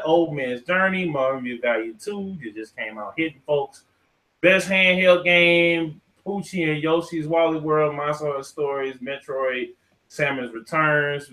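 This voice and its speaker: Bright-voiced man hosting a casual podcast. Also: a little high, low-quality recording. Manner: informal, slangy, swearing. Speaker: podcast host. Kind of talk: casual podcast chat with read-out lists.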